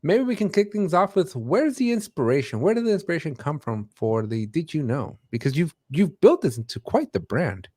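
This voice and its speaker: A man's voice: fairly high pitch, sing-song, moderate pace, hardly any pauses, mellow. A man hosting an interview in a casual, conversational style. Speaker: host.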